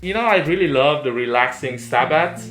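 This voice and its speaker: A man's voice: medium pitch, animated, brisk, a bit bright. A man co-hosting a casual review discussion, speaking enthusiastically with frequent "you know" asides. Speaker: review show co-host. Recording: roomy echo with music underneath.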